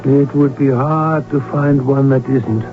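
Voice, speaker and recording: An actor playing a deferential, dryly candid doctor who attends a prince in a radio drama, with a fussy, obsequious manner. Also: male voice, medium pitch, fairly even intonation, measured pace, close to an everyday voice. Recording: tinny vintage radio broadcast, music behind.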